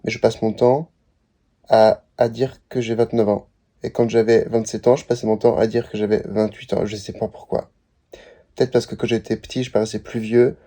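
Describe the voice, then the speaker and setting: A man's voice: on the low side, flat delivery, moderate pace, warm tone. A man in his late twenties giving a candid, hesitant personal vlog monologue, searching for his words as he reflects. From Switzerland or France. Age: late 20s. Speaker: vlogger. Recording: background music.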